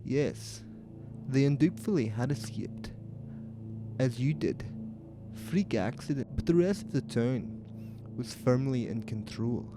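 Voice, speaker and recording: A man playing a calm, sinister police commissioner in a horror audio drama, measured and ominous. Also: medium pitch. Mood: probing, calm. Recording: music behind the voice.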